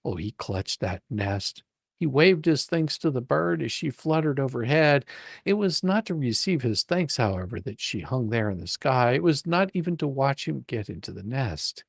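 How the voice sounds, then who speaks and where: A man narrating a story as a dramatic reading, with a playful, expressive, theatrical manner. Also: mid-range voice, moderate pace, gravelly, husky, dry recording, clean studio sound. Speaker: reader and host of a live dramatic reading.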